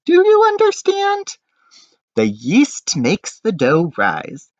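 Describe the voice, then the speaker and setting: Man playing a bossy village baker, swooping pitch. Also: wildly swooping pitch, slow, heavily acted. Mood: instructing. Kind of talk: children's picture-book character voice. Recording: dull, roomy home recording.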